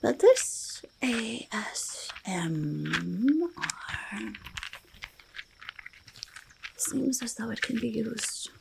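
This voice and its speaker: A woman voicing a small, curious, gentle goblin in an ASMR roleplay, whispered and soft-spoken, breathy and creaky, often repeating little phrases. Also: a little high, animated, very slow, pauses often, background noise, exaggerated voice. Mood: musing, hopeful. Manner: refers to self in third person, repeats phrases softly. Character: small curious goblin, gentle, eager, easily delighted hoarder.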